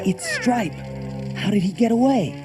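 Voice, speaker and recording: A man's voice: extremely high pitch, animated, moderate pace. A man playing an earnest young hero in a children's audio storybook, speaking with urgency. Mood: dismayed. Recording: old cassette tape with music behind.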